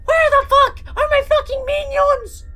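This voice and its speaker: A woman voicing a manic, villainous cartoon man in an exaggerated mock accent, with a strained high voice and loud outbursts. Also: little pitch movement, unhurried, gravelly, soft background music, exaggerated voice. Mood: furious shrieking.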